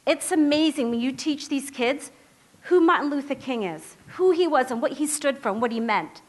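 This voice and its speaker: A woman public defender giving a talk, telling a story in an animated, conversational way and acting out the people she quotes. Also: high voice, normal speed. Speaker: public defender and symposium speaker. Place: lecture stage before a live audience.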